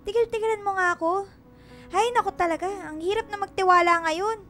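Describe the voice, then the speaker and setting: A woman's voice: very high voice, a little fast, fairly bright tone, faint music behind. A woman playing a mean, snobbish, accusing housewife in a radio drama, scolding and snappish. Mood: scornful, dismissive. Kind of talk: Filipino radio drama acting.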